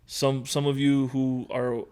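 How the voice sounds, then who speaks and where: A man's voice: mid-range voice, slow pace, smooth. A man from Hawaii, probably in his thirties, talking as a podcast guest in an easygoing, rambling storytelling way. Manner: relaxed, storytelling with fillers. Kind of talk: casual conversation.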